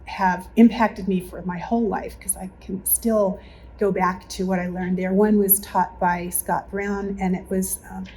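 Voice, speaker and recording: A woman's voice: mid-range voice, flat delivery, measured pace, a little mellow, low-quality recording. A woman, a church member, reflecting on her memories in a group interview. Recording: faint background noise.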